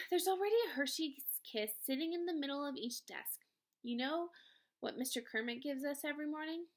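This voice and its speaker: A woman narrating a children's novel aloud in an expressive storytelling style. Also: sits high, a little fast.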